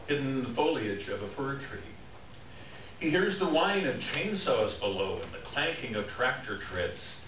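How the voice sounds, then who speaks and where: A man reading scripted, dramatic story narration at a live staged reading. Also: mid-range voice. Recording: echoey room, distant mic, clear noise.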